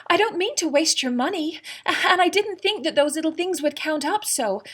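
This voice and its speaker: A woman's voice: extremely high pitch, expressive, moderate pace, a bit bright. A woman playing an anxious, affectionate young wife with a genteel, emotive manner in a period audio drama. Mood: upset, pleading.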